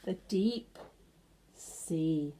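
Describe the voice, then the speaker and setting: A woman's voice: low-pitched, animated, very slow, warm tone. A woman reading a children's picture book of verse aloud, stretching words for emphasis and pointing things out to young listeners.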